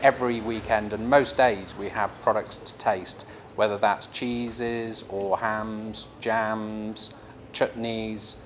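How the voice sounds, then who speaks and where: A middle-aged man who owns a farm shop, speaking in an earnest, measured way with frequent fillers in a promotional interview, recorded indoors in the shop. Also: lowish voice, flat delivery, slight background noise. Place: indoor shop by the cheese counter.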